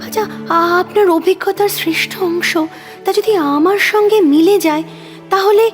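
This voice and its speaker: A moody, ardent younger sister in a Bengali audio drama. Her voice is very high, and she speaks with halting fervour, repeating words as if possessed by devotion. Faint music sits behind her. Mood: impassioned, possessive. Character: intense moody younger sister, ardent reader infatuated with a poet.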